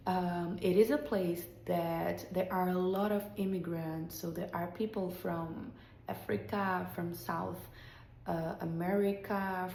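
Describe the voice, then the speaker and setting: Slow-talking Brazilian woman with a gravelly voice. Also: on the low side, mellow, roomy sound, a little hiss or hum. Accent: Brazilian Portuguese. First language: Portuguese. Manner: slow, deliberate phrasing with short repeated sentences. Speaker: English teacher. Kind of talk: slow spoken English lesson podcast.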